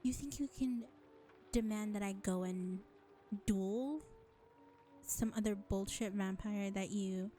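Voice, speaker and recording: A woman's voice: medium pitch, slow pace, pauses often, warm tone, faint music behind, natural voice. A woman voicing an exasperated, sarcastic vampire in an audio roleplay, drawling with mockery and scorn. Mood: mocking. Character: sarcastic, exasperated, foul-mouthed female vampire.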